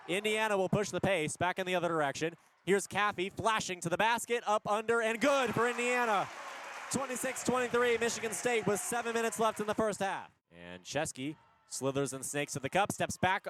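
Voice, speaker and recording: A man doing energetic live radio basketball play-by-play in punchy short phrases, rising on big plays. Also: high-pitched, background noise. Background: basketball arena ambience.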